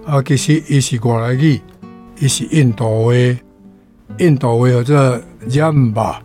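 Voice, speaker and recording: An older man with a Taiwanese accent explaining vocabulary as a language teacher, in short, halting phrases. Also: mid-range voice, mellow, soft background music.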